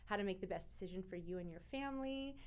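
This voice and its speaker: A woman hosts a real estate interview with lots of enthusiasm. She is warmly effusive toward her guest, and her pitch sits in the middle range. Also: animated, fast talker. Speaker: real estate show host. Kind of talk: interview hosting.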